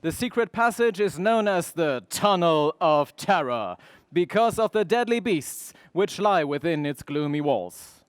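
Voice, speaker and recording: A man's voice: a little high, expressive, unhurried, clear, resonant tone, no room sound. A man playing the dramatic, suspenseful announcer of a 1930s-style radio adventure serial. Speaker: narrator.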